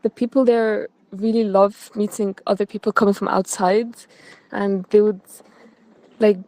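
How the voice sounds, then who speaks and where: A woman's voice: mid-range voice, warm tone, dry recording, low-quality recording. A young woman from Egypt who grew up in the UAE, a university student giving friendly, thoughtful answers as an interview guest. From Egypt, raised in the UAE. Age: young adult. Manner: friendly, thoughtful, loose with fillers.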